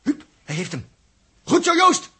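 An actor playing an anxious spaceship crewman, urgent and excitable, in 1960s radio drama style. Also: very high-pitched, unhurried, heavily acted. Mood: alarmed. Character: anxious male spaceship crewman watching over comrades. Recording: noisy old 1960s radio recording.

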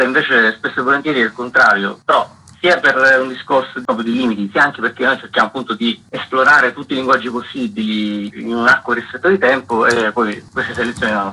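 A man's voice: mid-range voice, pushed and bright, slightly rough, rough recording. A man speaking as an interview guest, giving spontaneous explanatory answers as a festival director.

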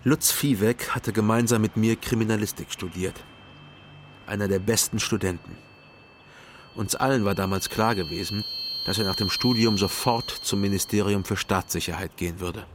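An actor playing a wry, guarded male private detective who tells his own story in a crime radio drama, measured and dry. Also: fairly low pitch, steady tone, noisy background, natural voice. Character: Frank Doberschütz, East Berlin private detective, wry, guarded man. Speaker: narrator and lead detective. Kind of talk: first-person crime radio drama narration.

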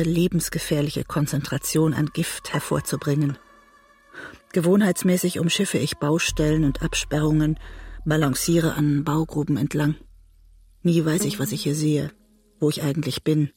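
An actress playing a guilt-ridden, disillusioned wife and writer, narrating introspectively in a literary radio drama. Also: female voice, deep voice, fairly even intonation, dark tone, breathy edge, exaggerated voice. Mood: bleak, estranged. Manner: introspective, measured storytelling. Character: disillusioned, guilt-ridden woman writer trapped in a bitter marriage.

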